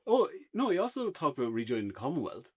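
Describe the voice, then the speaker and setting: A man's voice: a little high, wildly swooping pitch, quick pace, husky. An Irish man in a casual, unscripted review conversation, speaking as one of the podcast's reviewers.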